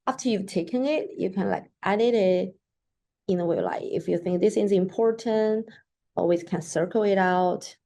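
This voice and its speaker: A woman whose first language is Mandarin Chinese gives a casual screen-share tutorial of a software app, talking things through as she clicks and often saying "like" and "so that is to say". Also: medium pitch, normal speed. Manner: chatty, with frequent "like" and "so that is to say". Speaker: software demo presenter. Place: at a computer, recording her screen.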